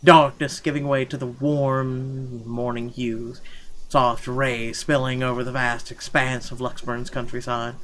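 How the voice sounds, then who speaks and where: A man's voice: medium pitch, little pitch movement, measured pace, fairly bright tone, a little hiss or hum. A man playing through a visual novel, reading its narration aloud expressively and dropping casual, sweary remarks. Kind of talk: gaming playthrough, dramatic read-aloud narration. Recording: music playing behind the voice. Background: game music.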